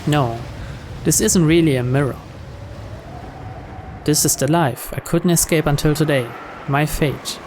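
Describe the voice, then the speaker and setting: A man's voice: a little high, a little slow, pauses often. A man reading a visual novel aloud as dramatic first-person narration. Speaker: video game player reading the story aloud. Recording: clear background noise.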